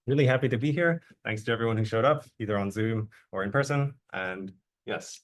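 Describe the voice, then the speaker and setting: A young man from Jamaica giving a relaxed, conversational academic seminar talk as a guest researcher. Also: on the low side, fairly quick. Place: lecture room.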